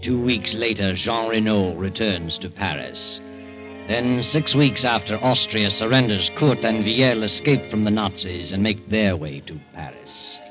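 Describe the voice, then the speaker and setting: A man's voice: on the low side, unhurried, natural voice. A man performing as the grave, dramatic narrator of an old-time radio drama, on a dull vintage recording. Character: grave dramatic male storyteller. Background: loud dramatic music.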